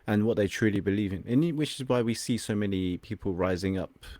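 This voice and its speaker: A man's voice: fairly low pitch, a little fast, dark tone, dry recording. A young man from England whose first language is Cantonese, a confidence coach speaking reflectively as a podcast guest, sometimes searching for words. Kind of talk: podcast interview.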